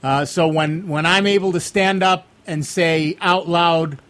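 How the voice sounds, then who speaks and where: A man in his sixties with a notably high speaking voice hosts a radio show from the studio. He jokes at his own expense and keeps the chat loose and easygoing. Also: fairly even intonation, unhurried, fairly bright tone. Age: 60s. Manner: joking, self-deprecating.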